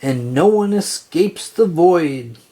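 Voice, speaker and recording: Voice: medium pitch, lively intonation, slow, warm tone. A storyteller voicing a ghostly male pirate captain who bellows menacing threats in pirate talk, for a children's storybook. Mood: threatening.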